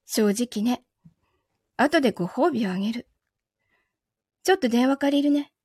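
A woman playing a possessive, coolly menacing wronged girlfriend in an audio drama, questioning calmly with soft taunts. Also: fairly high pitch, a little slow, breathy edge, no echo, clean studio sound. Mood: controlling. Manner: calm interrogating, softly taunting, sometimes whispering. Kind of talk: Japanese audio drama acting.

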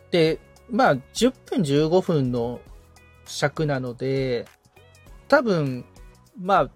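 A man in a casual, polite conversation as a podcast trainee, agreeable and thinking aloud as he answers. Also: a little high. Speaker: podcast assistant and trainee being coached.

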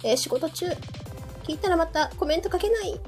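A woman's voice: very high voice, lively intonation, brisk. A woman with a Kansai accent chatting casually as a co-host of a live podcast. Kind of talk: casual chat, reacting to listener comments. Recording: background music under the talk. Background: music.

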